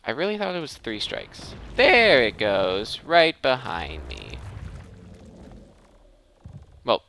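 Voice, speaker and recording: A man's voice: medium pitch, huge pitch swings, takes it slowly, vocal fry, bright, forceful tone, slight background noise. A man giving casual gameplay commentary, thinking aloud and often interrupting himself mid-thought. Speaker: gaming video host.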